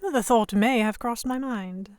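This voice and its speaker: A woman's voice: a little high, a little slow, a little mellow, clear, resonant tone, no echo, lightly acted. A woman playing a defiant, sarcastic young Victorian English noblewoman held captive, narrating in formal first person for an audio drama. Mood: wry sarcasm. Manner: sardonic, defiant, formal diction. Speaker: narrator and lead voice actor.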